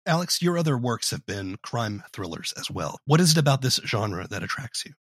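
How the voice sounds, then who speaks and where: A man's voice: mid-range voice, big pitch swings, normal speed, fairly bright tone, slightly rough, dry recording, studio quality. A man hosting an interview, conversationally asking questions and commenting. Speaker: interview host.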